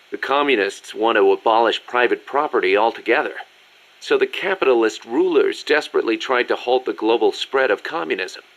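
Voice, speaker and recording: Confident military strategist. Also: male voice, mid-range voice, fairly bright tone, low-quality recording. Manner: articulate, explanatory. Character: confident, businesslike male military second-in-command and strategist. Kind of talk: scripted video game briefing dialogue.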